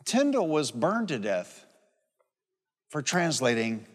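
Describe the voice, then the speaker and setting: A man's voice: on the high side, sing-song, slow. A man of sixty-seven preaching a sermon in a folksy, emphatic way, repeating phrases and telling personal stories. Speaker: pastor preaching. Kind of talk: sermon with personal anecdotes and calls for amen. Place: large hall or church, preaching to a congregation. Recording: long hall reverb on live sermon.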